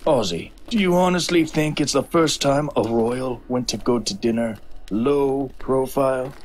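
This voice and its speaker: A man's voice: mid-range voice, unhurried, darkish tone, close to an everyday voice. A man playing an easygoing, playful royal father in an audio drama. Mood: amused, reminiscing.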